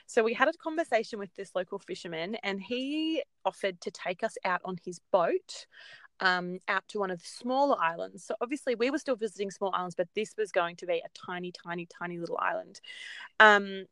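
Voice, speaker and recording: An Australian woman in her thirties chats and tells stories in an animated way, with frequent ums along the way. Her voice sits at an ordinary middle pitch. The sound is dry and close. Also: fairly quick, no room sound. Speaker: guest. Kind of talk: casual chat.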